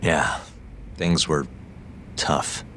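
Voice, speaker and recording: An actor voicing a weary, sardonic detective in a video game, with a dry, understated delivery. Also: male voice, rumbling low, steady tone, drawn-out words, bright, forceful tone, slight background noise, heavily acted. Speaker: detective, main character. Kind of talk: video game dub acting. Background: music.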